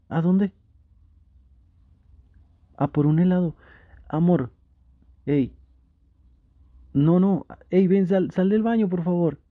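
Slow, creaky-voiced Mexican boyfriend, acted by a man. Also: mid-range voice, no echo, narrow, tinny audio. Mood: dismayed, pleading. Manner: halting, coaxing, conversational. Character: flustered, easily tempted gamer boyfriend, playfully pleading. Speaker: ASMR roleplay creator. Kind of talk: intimate boyfriend roleplay.

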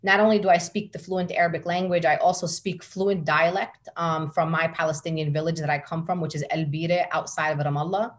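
A middle-aged woman, a guest on a video call from her home, speaks in a fairly low voice. She builds her points with passion and emphasis, often repeating words as she goes. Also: fairly even intonation, fairly quick, few pauses. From United States. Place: home room with curtains behind. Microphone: laptop webcam on video call.

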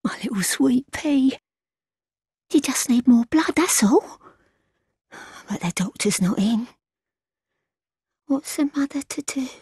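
A woman's voice: high-pitched, lively intonation, slow, pauses often, warm tone, slightly rough, dry recording, studio quality, exaggerated voice. An actress playing a bitter, suspicious, half-mad old townswoman in a gothic video game, with a British accent and a scornful, muttering manner. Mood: crazed, cooing. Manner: scornful, muttering and scolding by turns.

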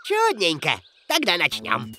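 A scheming, excitable cartoon man, coaxing and theatrical. His pitch swoops wildly from word to word. Music plays behind him. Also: unhurried, vocal fry, heavily acted. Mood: instructing. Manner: wheedling, theatrical, exclamatory. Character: scheming, excitable man trying to cash in on a bear. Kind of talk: animated cartoon dubbing.

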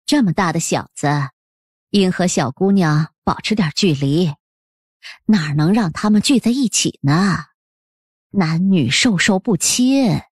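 Woman playing a husky, haughty official's wife. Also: fairly low pitch, animated, unhurried, no echo, clean studio sound. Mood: disapproving. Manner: condescending, pointed. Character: haughty, disapproving middle-aged official's wife.

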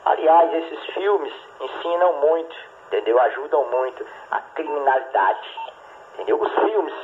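A man's voice: on the high side, animated, measured pace. A man talking casually over a ham radio link, rambling with many restarts and tag questions. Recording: tinny radio transmission with faint hiss.

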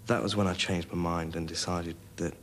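A young man looks back in an interview. His voice is very deep and rather flat, with clear noise behind him. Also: moderate pace. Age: young adult. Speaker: interviewee, rescued caver. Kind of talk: reflective interview recollection.

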